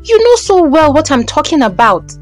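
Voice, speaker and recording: A woman plays an exasperated young Nigerian housewife in an audio drama. Her voice rides high and swings widely as she scolds, hammering phrases with a Nigerian accent. Music plays underneath. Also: sing-song, normal speed. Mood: exasperated, retorting. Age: late twenties. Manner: emphatic, scolding, repeats phrases.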